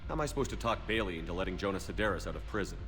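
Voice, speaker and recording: Deep-voiced, blunt military hero. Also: male voice, expressive, very fast, fairly bright tone, soft background music. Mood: level. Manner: blunt, dry, controlled. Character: stoic, tough male military commander hero. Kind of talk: video game acting.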